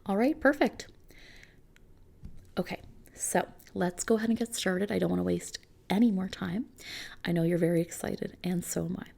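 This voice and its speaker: A woman speaking softly and often near a whisper in an ASMR roleplay, gently reassuring like an attentive consultant. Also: fairly low pitch, moderate pace, vocal fry, long pauses. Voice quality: soft, hushed, often slipping into whispers. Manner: gentle, reassuring, attentive client-service talk. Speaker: ASMR roleplay performer playing a customization consultant. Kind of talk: soft-spoken ASMR roleplay.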